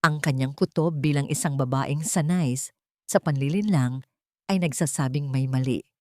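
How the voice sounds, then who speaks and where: A woman's voice: sits low, normal speed, mellow, dry recording, studio quality. A woman with a Filipino accent narrating a scripted dramatic story as an audiobook.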